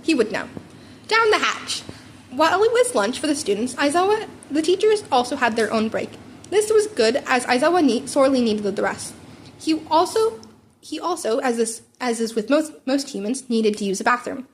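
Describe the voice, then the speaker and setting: A woman's voice: high-pitched, big pitch swings, normal speed. A woman reading a story aloud as an amateur narrator, stumbling and rereading words now and then. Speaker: amateur fan fiction narrator. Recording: home recording with background noise.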